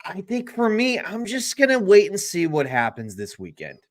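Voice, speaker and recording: A man co-hosting a live sports talk show, answering in a measured, agreeable way. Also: sits high, huge pitch swings. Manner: measured, agreeable, wait-and-see. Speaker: co-host.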